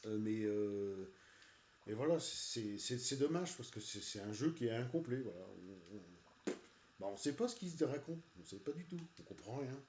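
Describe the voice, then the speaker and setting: An irritated man rambles along in casual, halting speech, stuffing his sentences with fillers and false starts. His voice is dark and mellow. It sounds dull and lo-fi, and it echoes around a hollow room. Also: medium pitch, animated, a little hiss or hum. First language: French. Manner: hesitant, many "euh" and "voilà", annoyed. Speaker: video game reviewer. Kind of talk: casual rant while playing a game.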